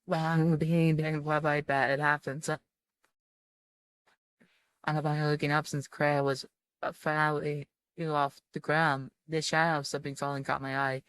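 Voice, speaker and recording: A woman reading visual novel narration aloud in an informal, halting way, often stumbling over her words. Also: low-pitched, little pitch movement, clean tone, rough recording. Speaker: video game streamer reading aloud.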